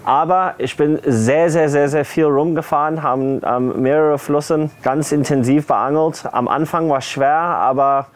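A man's voice: fairly high pitch, fairly even intonation, unhurried, hardly any pauses. A middle-aged man from Zimbabwe answering interview questions plainly, repeating words for emphasis. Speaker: competitor. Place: outdoor patio.

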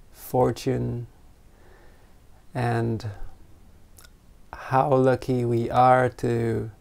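A meditation teacher of unclear sex guiding a group in gentle, spontaneous talk, unhurried, with long pauses between short phrases. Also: medium pitch, vocal fry. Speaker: meditation teacher leading an online retreat.

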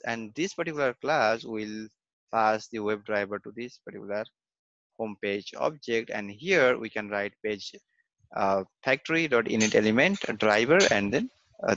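Halting man teaching code with fillers. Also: lowish voice, lively intonation, fairly bright tone, dry recording, highs cut off. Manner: hesitant, many fillers and repeated "okay, fine". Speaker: software testing instructor. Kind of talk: screen-recorded coding lesson.

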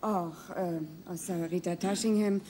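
A middle-aged actress speaking frankly and laughing easily in a TV interview. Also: female voice, on the low side, steady tone, unhurried, a crowd in the background. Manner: bubbly, frank, prone to laughing. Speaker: actress, show guest. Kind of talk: interview on a game show. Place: TV studio with audience. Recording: studio audience noise behind.

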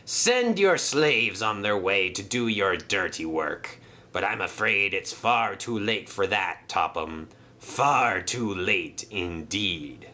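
A man's voice: fairly low pitch, expressive, slow pace, pushed and bright, slight background noise, exaggerated voice. A man playing a sly, smug sailor villain who bargains and taunts with mocking menace in a children's story. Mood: menacing.

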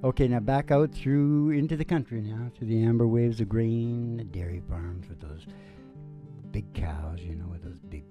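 A middle-aged man hosting a music show with playful, unhurried, rambling stage patter full of joking asides. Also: mid-range voice, highs cut off. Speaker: host of a music and comedy show. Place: small dim curtained stage room. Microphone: stand microphone. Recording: guitar playing behind the voice. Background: acoustic guitar.

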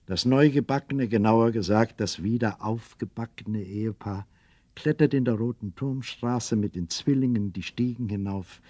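Wry, measured male storyteller. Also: fairly low pitch, warm tone, dry recording. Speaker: narrator. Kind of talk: scripted storytelling narration for a children's radio play.

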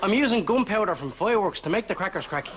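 An actor with an Irish accent playing a dim but eager and hopeful young chancer in a sitcom. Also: male voice, sits high, lively intonation, fast talker, very noisy. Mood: excited. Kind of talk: studio sitcom acting. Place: indoor sitcom kitchen set.

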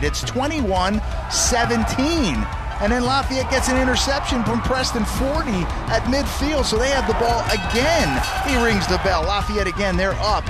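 A man recaps football highlights in a fairly high, keyed-up voice that climbs into shouts on the big plays. Loud music plays underneath him the whole way. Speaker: play-by-play commentator. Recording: broadcast voice-over with loud music bed.

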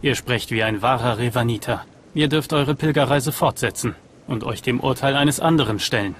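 A man playing a grave alien master in a video game, speaking in solemn, measured tones. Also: mid-range voice, fairly bright tone, a little hiss or hum, natural voice. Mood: solemn, stern. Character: grave male alien master testing a disciple. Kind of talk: video game cutscene acting.

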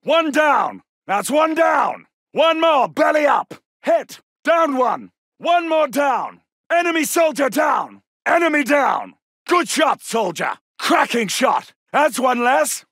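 An actor playing a British Second World War soldier in a video game, barking urgent, clipped battle calls. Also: male voice, extremely high pitch, sing-song, takes it slowly, no echo, studio quality, heavily acted. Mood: triumphant. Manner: urgent, forceful, clipped military delivery.

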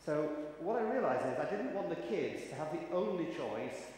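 A man's voice: a little high, very animated, normal speed, a little mellow. A man in his fifties giving a conference keynote, chatty and wry, telling anecdotes to the audience. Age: 50s. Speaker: keynote speaker. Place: large conference hall. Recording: echoey hall, faint ambient noise.

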